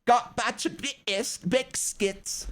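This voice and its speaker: A man's voice: very high voice, slow, fairly bright tone, pitched above the performer's own voice, full character voice. A man doing a fussy mock-British gent.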